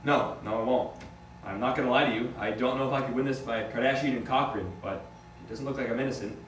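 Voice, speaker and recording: A man acts out an accused businessman at a table read, quick in speech, worldly and resigned. The recording is roomy and distant, with his words stumbling now and then. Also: mid-range voice, lightly acted. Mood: grim, resigned. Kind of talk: amateur script table read. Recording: distant, echoey room with faint noise.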